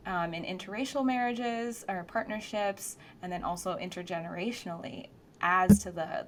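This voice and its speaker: A woman's voice: lowish voice, a little hiss or hum. A woman from the United States, co-hosting a podcast and speaking in a thoughtful, reflective way with many fillers. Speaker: podcast co-host, therapist. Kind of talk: casual podcast conversation.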